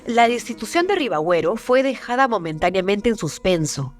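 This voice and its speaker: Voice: medium pitch, very animated, fairly quick, music in the background. A narrator delivering scripted historical documentary narration.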